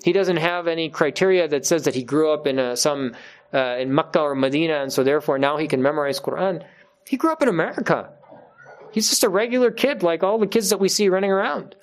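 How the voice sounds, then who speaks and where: A man's voice: fairly high pitch, quick pace. A man giving an unscripted religious talk to his congregation, earnest and emphatic, repeating key phrases for weight.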